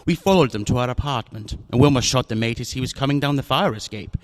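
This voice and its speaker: A male actor plays an older crime boss in a radio thriller. He is jovial but cunning, with a mid-pitched voice and pompous, florid, theatrical phrasing. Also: fast, lightly acted. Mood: matter-of-fact. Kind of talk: radio drama acting.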